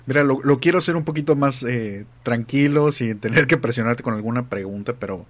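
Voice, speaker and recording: A man interviewing a guest in a recorded online chat, relaxed and hesitant. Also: medium pitch, fairly quick. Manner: relaxed, hesitant, many fillers.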